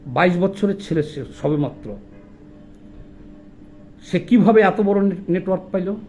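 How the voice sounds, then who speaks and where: Male political commentator, Bangladeshi accent, high-pitched, indignant and emphatic, faint music. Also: animated, a little slow, a little mellow. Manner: indignant, emphatic, rhetorical questioning. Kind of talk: impassioned political monologue.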